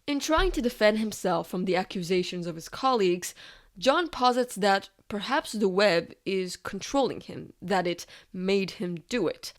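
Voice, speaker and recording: A woman reading a scripted, analytical video essay as its narrator. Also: mid-range voice.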